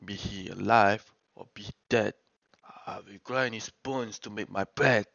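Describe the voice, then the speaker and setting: A man acting a gruff, menacing man-eating giant with a gravelly voice in an amateur radio drama. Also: on the low side, fairly even intonation, unhurried, fairly bright tone, dry recording, highs cut off.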